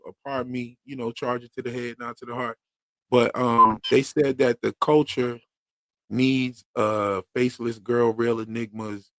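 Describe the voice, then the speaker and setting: A man's voice: medium pitch, a bit bright, no echo. A man from Pennsylvania with a Philadelphia accent, hosting a casual livestream chat in a slangy, laid-back way full of fillers. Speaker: podcast host.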